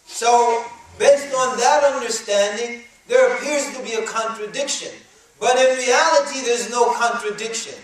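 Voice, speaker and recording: High-voiced man preaching in an echoey hall. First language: English. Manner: preaching, switching to quick Arabic recitation of verses. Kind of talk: sermon. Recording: very echoey room, voice from a distance.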